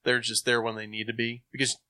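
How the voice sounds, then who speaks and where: A man in casual chat, rambling enthusiastically with thinking pauses. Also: mid-range voice, completely flat delivery, pushed and bright, no room sound, clean studio sound. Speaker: podcast host.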